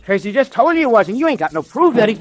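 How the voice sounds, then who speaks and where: A jittery gangster sidekick from a vintage 1930s radio serial. His voice is extremely high and swings nervously. He talks in anxious street slang. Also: big pitch swings, fast talker, slight background noise. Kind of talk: 1930s radio drama acting.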